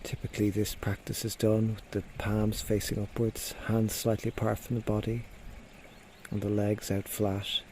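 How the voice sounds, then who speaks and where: Man, mindfulness guide, relaxed dark timbre, gentle slow instructions, long pauses, light nature ambience with birds. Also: fairly low pitch, a little hiss or hum. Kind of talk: guided body scan meditation. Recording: faint outdoor nature sounds behind. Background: birds and nature sounds.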